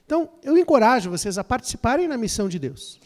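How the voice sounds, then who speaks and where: A man's voice: very high-pitched, big pitch swings, fast talker. Hesitant male interpreter in a hall. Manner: hesitant starts with fillers and repeated words. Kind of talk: consecutive interpreting of a lecture. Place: lecture hall. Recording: long hall reverb.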